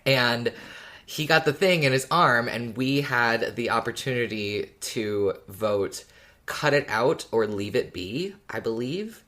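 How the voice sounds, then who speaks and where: A podcast panelist with a middling pitch talks casually as a fan. The delivery is reflective and a little hesitant, like someone reasoning out loud. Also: lively intonation, slow. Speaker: podcast co-host or guest discussing an audio drama.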